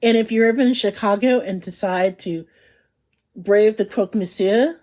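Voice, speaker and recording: A woman's voice: medium pitch, moderate pace, a little mellow. A woman co-hosting a food podcast in casual chat, bubbly and chatty, laughing often. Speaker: podcast co-host.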